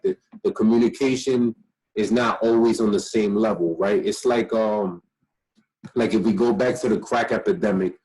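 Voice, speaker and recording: Young male instructor on a laptop call, low husky voice, reads then riffs in casual slang, tired and a bit slurred. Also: a little mellow, rough recording. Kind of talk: casual online lecture reading from web pages. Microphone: laptop video call.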